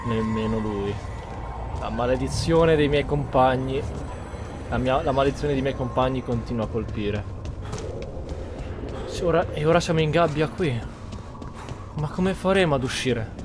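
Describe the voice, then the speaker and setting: Italian man reacting, with clear background noise. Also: medium pitch, moderate pace, pauses often. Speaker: gameplay streamer. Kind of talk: casual reactive game commentary.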